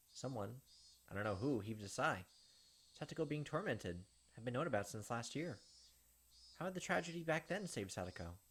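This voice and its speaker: A man's voice: medium pitch, expressive, normal speed, long pauses, a bit bright, slight background noise. A man hosting a gaming video, reading a visual novel aloud and adding casual commentary over game music. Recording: music behind the voice.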